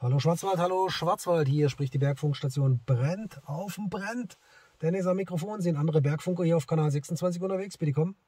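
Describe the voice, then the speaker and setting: A man's voice: a little high, brisk. A middle-aged man, chatting casually as he tests a CB radio in a parked car. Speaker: amateur CB radio operator and vlogger. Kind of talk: casual chat and commentary while testing a radio. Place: inside a parked car in a forest. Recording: clearly noisy, radio static in car. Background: loud noise, radio hiss.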